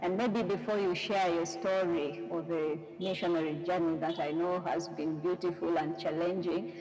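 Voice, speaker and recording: A woman's voice: on the low side, steady tone, normal speed, darkish tone, big-room sound, soft background music, rough recording. A woman, a religious sister, hosting a live interview, welcoming her guest and asking questions with some hesitant fillers.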